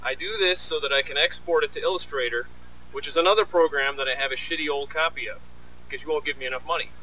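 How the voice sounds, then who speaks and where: A man with a fairly high, very bright voice narrates casually as an animator. His manner is sarcastic and mock-complaining. He sounds a little away from the mic, with faint background noise. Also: fast talker, recorded from a distance, poor audio. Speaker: animator and video host. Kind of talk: casual behind-the-scenes narration.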